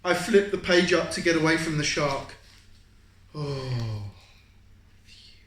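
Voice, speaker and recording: A parent reads a picture book to a small child with big, animated swings in pitch. The delivery is slow, dramatic and coaxing, with questions along the way. The room is echoey, with faint noise behind. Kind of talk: animated read-aloud storytime with questions to a child.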